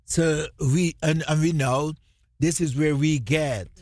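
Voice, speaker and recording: A Jamaican man, a pastor co-hosting a radio ministry show, preaching emphatically with rhetorical questions and drawn-out words. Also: on the high side, fairly even intonation, darkish tone, no echo.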